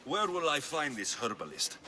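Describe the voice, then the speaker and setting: A man's voice: fairly high pitch, sing-song, normal speed, sharp tone, exaggerated voice. A man acting a capable, sardonic Greek mercenary in a video game cutscene, with a dry delivery. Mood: curious, dry. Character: wry, capable male Greek mercenary for hire.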